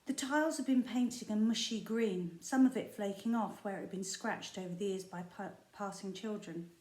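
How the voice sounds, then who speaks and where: A woman teacher reads a chapter book aloud at an even, middling pitch, bringing the story to life with expressive storytelling. Her voice carries a roomy echo around it, with small stumbles now and then. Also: moderate pace. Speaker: primary school teacher. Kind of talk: reading a children's book aloud. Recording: echoey room.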